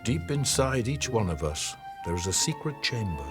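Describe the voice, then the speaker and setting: An actor playing a grave, wise mentor in an audio drama, speaking in a measured, moralizing way. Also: male voice, medium pitch, sing-song, over music, lightly acted. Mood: solemn. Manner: solemn, measured, sententious.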